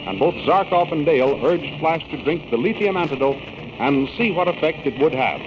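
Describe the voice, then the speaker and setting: A man's voice: on the high side, animated, normal speed. A man with an American accent narrating a 1930s radio adventure serial in a formal, theatrical announcer style. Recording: noisy, dull vintage radio broadcast recording.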